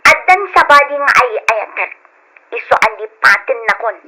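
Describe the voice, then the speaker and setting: A cold, fed-up wife in an old radio drama. Her voice is high and very sharp, with a firm, cutting delivery. The recording carries faint noise. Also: animated, moderate pace, heavily acted, poor audio. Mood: cold, resolute.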